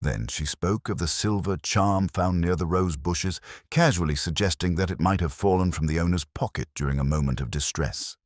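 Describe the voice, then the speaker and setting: A man narrating a scripted mystery story in a measured, formal storytelling manner. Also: low voice, no echo, studio quality. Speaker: audiobook narrator.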